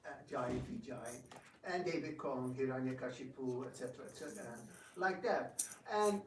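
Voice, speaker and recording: A man giving an informal spiritual talk and taking questions, telling stories in an animated way with hesitant repetitions. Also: medium pitch, moderate pace. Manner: animated storyteller, acts out quotes, hesitant repetitions. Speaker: devotional speaker and drama director taking questions. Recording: echoey room, distant mic, faint noise.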